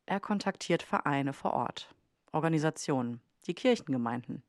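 A woman's voice: deep voice, expressive, normal speed, dry recording, clean studio sound. A woman reading scripted podcast narration as the host.

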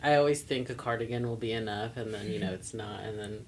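A young woman with a deep, raspy voice, casually leading a student podcast chat. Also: fast talker, a little hiss or hum. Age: young adult. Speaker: podcast co-host.

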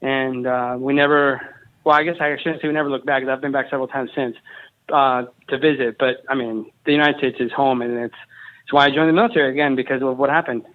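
Fast-talking man on a tinny phone line. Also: medium pitch, fairly even intonation. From Mexico. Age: 30s. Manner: enthusiastic, jokey storyteller. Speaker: guest, company founder and Army veteran. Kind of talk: casual interview chat.

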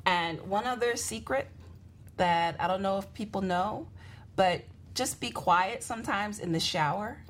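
A woman's voice: medium pitch, a little slow. A woman guest on a talk show, giving advice in a chatty, informal way. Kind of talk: casual conversational advice.

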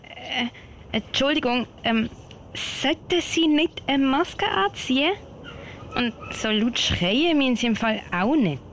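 Woman as earnest swiss german scout kid, kinda high voice, thinks out loud, radio play, little bit noisy. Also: animated, slow, full character voice. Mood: concerned, insistent. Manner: chatty, thinking aloud. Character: young girl scout hiker, polite, weary, earnest. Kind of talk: amateur radio play acting. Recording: slightly noisy amateur recording.

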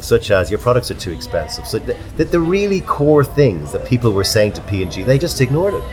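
Deep-voiced Irish host, chatty. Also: male voice. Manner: chatty, frequent yeahs, animated anecdotes. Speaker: podcast host. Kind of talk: casual pub chat.

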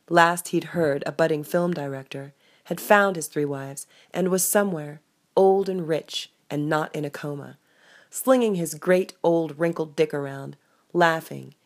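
A woman narrates fiction in a low-pitched voice. She is the author, reading her own book aloud in audiobook style.

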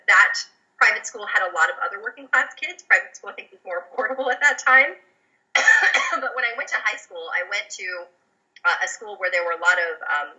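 Bright-voiced woman, German-born, lo-fi audio. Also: medium pitch. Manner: reflective, storytelling, frequent fillers. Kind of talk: casual podcast conversation.

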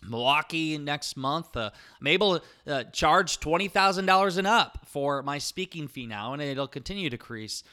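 A young man with an Iowa accent, hosting his own podcast and giving an energetic, emphatic motivational talk. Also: mid-range voice. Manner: energetic, emphatic, punchy repetition. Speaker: podcast host.

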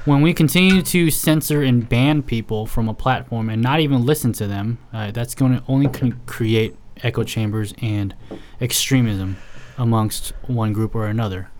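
An American man from San Francisco speaking as a podcast guest, opinionated and deliberate, often searching for his words. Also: mid-range voice, slight background noise. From San Francisco, United States. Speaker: podcast guest, healthcare provider. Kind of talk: opinionated casual discussion.

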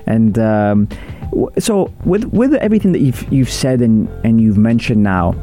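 Low, mellow-voiced male host, faint music. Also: lively intonation, moderate pace. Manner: friendly, reads out viewer comments. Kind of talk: live-stream interview hosting.

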